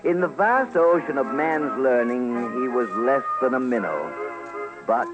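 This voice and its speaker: Animated male radio documentary narrator. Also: medium pitch, unhurried, lo-fi sound, over loud music. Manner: theatrical, emphatic. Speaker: commentator. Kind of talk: dramatic scripted documentary narration.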